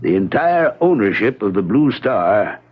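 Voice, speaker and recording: An actor playing a reserved, evasive American attorney in old-time radio drama style, hedging in a measured, matter-of-fact way. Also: male voice, on the low side, sing-song. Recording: tinny, narrow-band vintage radio broadcast.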